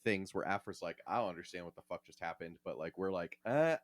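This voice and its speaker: A man co-hosting a podcast, explaining things casually and haltingly as he thinks aloud. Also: low-pitched. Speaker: podcast co-host.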